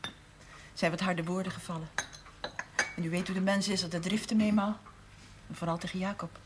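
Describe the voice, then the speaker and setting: An actress playing an earnest farmer's wife who now keeps a shop, confiding and at times near a whisper, in a 1970s TV drama. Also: female voice, fairly low pitch, fairly even intonation, moderate pace, background noise, lightly acted. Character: earnest farmer's wife turned shopkeeper, middle-aged woman. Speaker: shopkeeper's wife.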